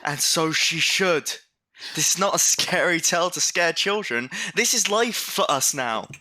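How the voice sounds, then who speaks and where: A man playing a grim, blunt young Victorian son, earnest and forceful, in a period audio drama. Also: on the high side, expressive, a little slow, a little hiss or hum, full character voice. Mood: grim, insistent.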